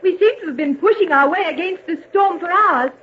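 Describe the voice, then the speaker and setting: Casting: spirited, sweet young lady, theatrical 1940s-style radio, high and melodic, faint noise. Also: animated, a little fast. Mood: anxious. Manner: theatrical, genteel, old-fashioned diction. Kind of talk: vintage radio drama acting. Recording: tinny, noisy old radio recording.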